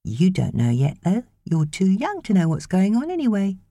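A woman's voice: mid-range voice, wildly swooping pitch, moderate pace, mellow, dry recording, studio quality, pitched above the performer's own voice. A woman voicing a chatty, caring teenage girl babysitter who gets a little exasperated, in an audiobook story.

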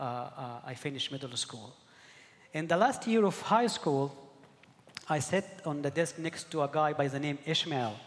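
A middle-aged man from Egypt preaching a sermon, earnest and halting, often repeating words as he searches for the next one. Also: on the high side, in a large hall. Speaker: pastor.

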